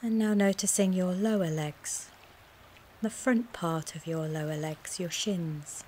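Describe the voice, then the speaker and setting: A woman wellness coach leads a guided mindfulness body scan in a gentle, soothing, measured way, speaking in short phrases with pauses. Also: lowish voice, a little hiss or hum. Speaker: wellness coach guiding a relaxation session.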